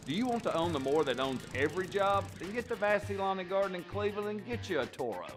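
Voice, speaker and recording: Animated pitchman over music. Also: fast. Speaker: lawn equipment dealer in an ad. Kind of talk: radio ad read. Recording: radio ad over music bed.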